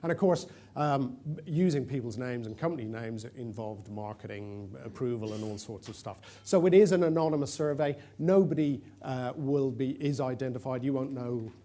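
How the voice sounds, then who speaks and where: A man's voice: mid-range voice, normal speed, hardly any pauses, mellow. A middle-aged man from Ireland with an Irish accent, giving a chatty, self-deprecating talk to an audience in a conference room, with fillers and restarts. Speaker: conference presenter.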